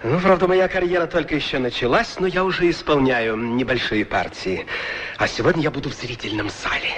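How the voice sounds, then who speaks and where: An actor playing a cheerful, polite young opera singer, effusive and courteous, in a radio play. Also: male voice, mid-range voice, a little fast, a bit bright, a little hiss or hum, natural voice. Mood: enthusiastic. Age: young adult. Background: faint music.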